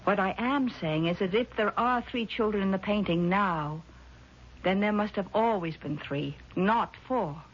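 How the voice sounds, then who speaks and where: An actress playing a worried, evasive woman in a 1970s radio drama, speaking in a hesitant, measured way. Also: mid-range voice, natural voice. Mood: anxious. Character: worried, evasive sister with designs on an estate. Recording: dull, noisy vintage radio recording.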